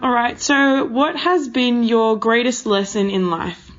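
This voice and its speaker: A woman's voice: on the high side, measured pace. A young woman interviewing casually, affirming her guest in an informal, conversational way. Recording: dull, lo-fi audio.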